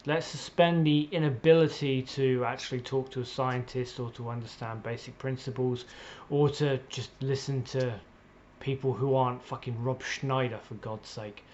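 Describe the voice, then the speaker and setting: A man delivering an opinionated political rant in a blunt, sweary, exasperated way, with emphatic pauses and repetition, on slightly muffled audio. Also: medium pitch, fairly even intonation, a little mellow, slight background noise. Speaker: political commentator.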